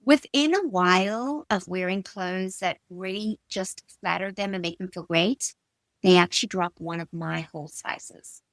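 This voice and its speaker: A middle-aged woman with a South African accent, answering in an interview with emphatic, expressive storytelling and personal anecdotes. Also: lowish voice, unhurried, no echo, low-quality recording. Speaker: guest, stylist and designer.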